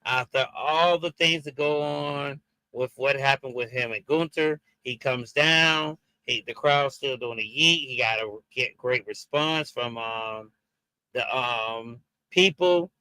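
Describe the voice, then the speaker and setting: A man with a Jamaican and Haitian accent chats to his viewers in an unhurried, halting way, full of ums and restarts. His voice is very bright and forward. The recording is dry, with no room sound. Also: medium pitch, smooth, no echo, rough recording. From Jamaican and Haitian background. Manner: hesitant, many fillers and restarts, talks to viewers. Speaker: wrestling review show host. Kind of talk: casual streamed commentary.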